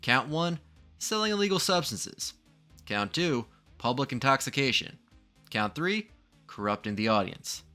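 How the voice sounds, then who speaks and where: A man's voice: mid-range voice, big pitch swings, a little slow, bright, forceful tone. A man narrating a scripted video essay in a dramatic, ominous true-crime manner, posing rhetorical questions. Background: background music.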